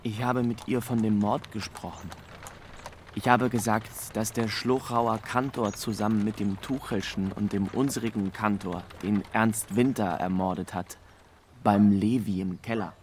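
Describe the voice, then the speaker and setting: An actor playing a simple young small-town rag dealer who answers haltingly and evasively in a radio drama. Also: male voice, on the low side, steady tone, slight background noise, close to an everyday voice. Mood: halting, defensive.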